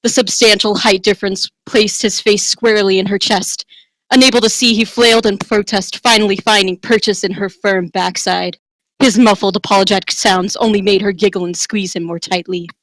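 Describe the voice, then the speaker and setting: A woman's voice: medium pitch, fairly even intonation, moderate pace, a bit bright, no room sound, poor audio. Woman reading dramatically, close and dry. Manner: theatrical, tongue-in-cheek. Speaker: reader. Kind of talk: dramatic reading of fantasy romance.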